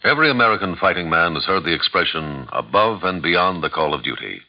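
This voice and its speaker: A man's voice: low-pitched, expressive, a little fast. A man working as an announcer, reading solemn scripted narration in a 1950s radio style. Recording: dull old radio broadcast recording.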